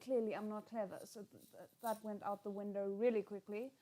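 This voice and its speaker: A woman's voice: medium pitch, steady tone, a little fast, dark tone. A woman hosting a live reaction stream, chatting casually with viewers in an informal, encouraging and self-deprecating way. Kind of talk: casual livestream chat with viewers.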